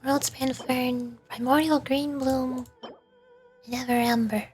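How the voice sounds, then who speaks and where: A woman's voice: sits high, flat delivery, slow, a little mellow, faint music behind. A woman livestreaming a video game, commenting casually and thinking aloud as she plays. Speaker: streamer, VTuber.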